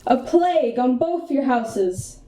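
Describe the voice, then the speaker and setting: A woman's voice: high-pitched, animated, slow pace. A young woman playing a hot-headed Shakespearean duellist, declamatory and challenging, in a comic radio play. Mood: defiant, taunting. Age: young adult. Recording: echoey room, loud noise.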